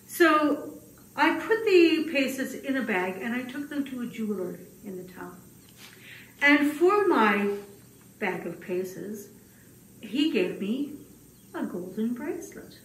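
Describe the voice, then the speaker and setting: A woman voicing a gentle, humble old male grass cutter in a puppet show, speaking hesitantly and getting easily flustered. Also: sits high, expressive. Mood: earnest. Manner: hesitant, halting, with many pauses. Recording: roomy, distant mic, faint noise.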